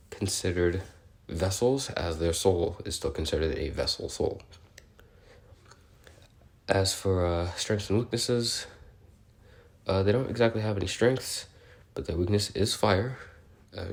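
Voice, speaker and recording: Guy playing a nerdy researcher doing an audio log, deep voice, kinda awkward and rambly, polite, explains stuff haltingly. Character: male researcher logging species notes, awkward, curious, bookish. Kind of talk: in-character audio log, semi-improvised explaining.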